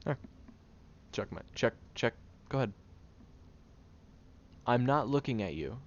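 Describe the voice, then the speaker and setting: A man's voice: medium pitch, animated, a little slow, dull audio. A man playing a prickly, defensive young man who is sarcastic but secretly caring, in an audio roleplay spoken to the listener. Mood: flustered, indignant. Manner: gruff, sarcastic, flustered tsundere bickering.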